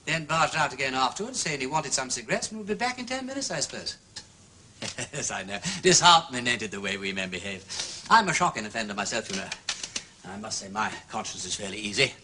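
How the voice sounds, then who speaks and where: A breezy English aristocrat, effusive and talkative, with an upper-class accent. His voice is bright and leaps around in pitch, in radio drama style over a faint hiss. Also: big pitch swings, fast talker. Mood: chatty, probing. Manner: effusive, affectedly jolly and chatty.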